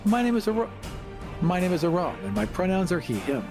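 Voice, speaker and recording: A man's voice: sits high, very animated, fairly quick, over music. A man hosting a podcast in casual discussion, earnest and opinionated in how he talks. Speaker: podcast host and producer.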